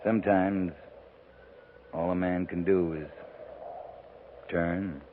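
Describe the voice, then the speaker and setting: Deep grave american cavalry captain, terse and worn out, old muffled noisy radio western. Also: male voice, flat delivery. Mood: weary resolve. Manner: terse, deliberate, commanding. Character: grave, world-weary male US cavalry captain on the frontier. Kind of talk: 1950s radio western acting.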